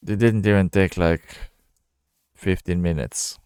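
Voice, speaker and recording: A man giving casual, low-key game commentary, thinking out loud in halting phrases as he plays. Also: fairly even intonation, clear, resonant tone, dry recording, clean studio sound. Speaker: gamer narrating own playthrough.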